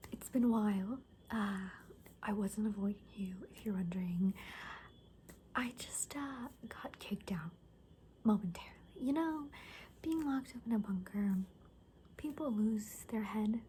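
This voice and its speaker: A woman playing a gossipy, rambling zombie apocalypse survivor in a soft-spoken, whispery ASMR roleplay, talking hesitantly and conspiratorially. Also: mid-range voice, slight background noise, close to an everyday voice. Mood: awkward, evasive.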